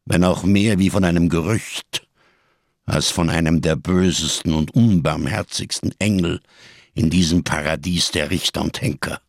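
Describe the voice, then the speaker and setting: Gravelly, world-weary giant avenger. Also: male voice, sits low, no echo, clean studio sound. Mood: sardonic, grave. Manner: grandiose, bitterly ironic, slow rhetorical delivery. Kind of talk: German radio crime drama acting.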